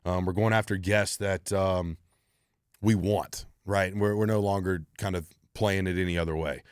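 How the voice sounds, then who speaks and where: A very low-voiced man hosts a solo Q&A podcast. He talks in a blunt, driven way and swears freely. The sound is close and dry, with no room echo. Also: steady tone, normal speed, smooth. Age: 30s. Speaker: podcast host.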